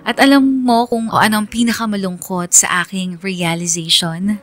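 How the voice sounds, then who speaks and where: A woman's voice: medium pitch, steady tone, normal speed, fairly bright tone, soft background music, lightly acted. A woman playing a heartbroken young woman who narrates her story sincerely, as a confession in a radio drama. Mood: sorrowful reflection. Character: heartbroken young woman letter-sender, reflective and accepting.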